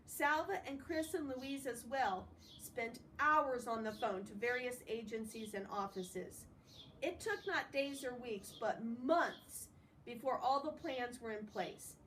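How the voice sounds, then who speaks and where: A woman with a high voice reads a story aloud in an expressive way. She sounds set back from the microphone, with a faint noise behind her. The delivery is that of an informal read-along. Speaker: storybook reader. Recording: distant mic with faint background noise.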